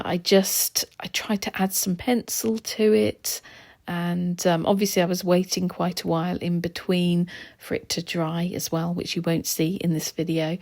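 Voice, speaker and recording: A woman narrating her art vlog in a relaxed, chatty way, her voice slightly hoarse as she loses it. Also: lowish voice. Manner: chatty, relaxed, with soft laughs and ums. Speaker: art vlogger. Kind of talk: casual voice-over narration.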